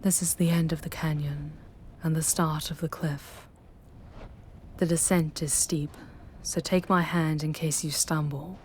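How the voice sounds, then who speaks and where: A woman voicing an ancient, proud, wounded female demon who guides a traveler through hell in an ASMR roleplay, speaking softly and formally in a breathy, solemn, deliberate way. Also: deep voice, little pitch movement, slight background noise. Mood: resolute. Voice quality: soft, breathy, husky.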